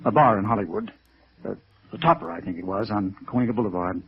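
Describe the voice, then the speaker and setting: An actor playing a stunned, defensive American man in his thirties in a 1950s radio drama, speaking haltingly. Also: fairly low pitch, expressive, creaky, slightly rough, full character voice. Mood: subdued. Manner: hesitant, halting. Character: stunned, defensive muscular male beach idler in his thirties. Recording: dull, band-limited vintage radio recording.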